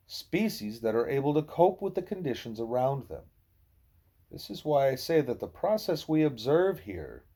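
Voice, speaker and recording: Husky, mellow male audiobook reader, lively intonation. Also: medium pitch. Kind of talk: scripted narration of a novel in dialogue.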